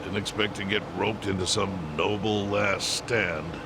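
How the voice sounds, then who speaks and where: An actor playing an elderly, world-weary chairman in a video game, with a measured, dry, pragmatic manner over background noise. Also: fairly low pitch, fairly even intonation, close to an everyday voice. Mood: wry. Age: older. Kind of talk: English video game voice acting.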